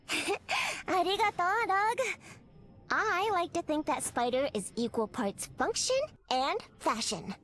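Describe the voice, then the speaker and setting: A cute, playful young game heroine. Her voice is high and perky, and she takes her words slowly. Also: lively intonation, a bit bright, full character voice. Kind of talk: video game voice acting.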